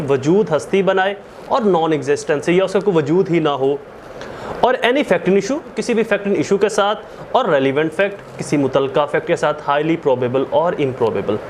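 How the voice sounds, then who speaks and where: A man's voice: high voice, a little hiss or hum. A man with a Pakistani accent teaching as a law lecturer, explaining in a didactic way, repeating and rephrasing key terms and mixing in English.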